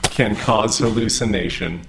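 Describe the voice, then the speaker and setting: Overly friendly robot guy voicing it, super creaky lowish voice, formal and literal, audio drama. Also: unhurried, background noise. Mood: earnest, friendly. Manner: formal, stilted, literal, avoids contractions.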